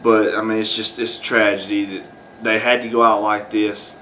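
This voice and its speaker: A man with a bright, forward voice and a lilt talks unscripted about his subject, pausing on fillers and restarting his sentences. A faint ambient hiss sits under the voice. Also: fairly low pitch, flat delivery, poor audio. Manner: rambling, many fillers and restarts. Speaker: video host. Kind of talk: unscripted solo commentary.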